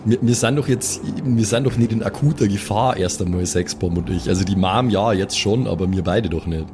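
A man's voice: fairly low pitch, brisk, background noise. A man playing a role-playing game with slangy, joking, casual banter.

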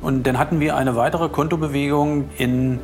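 A man speaking as a police investigator in a documentary interview, halting and matter-of-fact, in official wording. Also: a little high, fairly even intonation. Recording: music laid under interview.

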